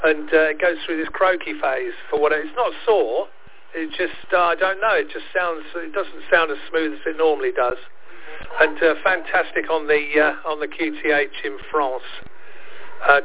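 A man with a croaky voice hosting a casual amateur radio chat, friendly and hesitant with fillers. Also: on the high side, fairly even intonation, moderate pace. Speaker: radio net host. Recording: tinny radio link with faint hiss.

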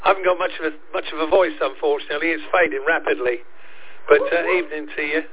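Croaky man over a tinny radio link. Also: on the high side, fairly even intonation, quick pace. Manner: friendly, hesitant with fillers. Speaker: radio net host. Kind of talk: casual radio chat.

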